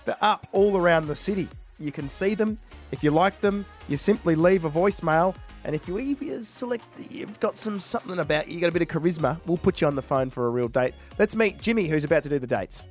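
Slightly high-voiced Australian man on radio. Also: lively intonation, fairly quick, soft background music. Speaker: breakfast radio host. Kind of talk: casual radio presenting.

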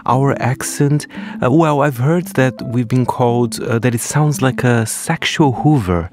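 A man from Brazil with a Brazilian Portuguese accent, speaking English in a relaxed way. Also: mid-range voice, measured pace. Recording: voice over music.

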